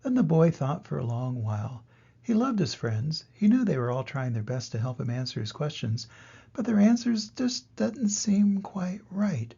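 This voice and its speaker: An older man reading a children's story aloud as a grandfather, with expressive, deliberate narration and dramatic emphasis. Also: fairly high pitch, gravelly, husky, highs cut off.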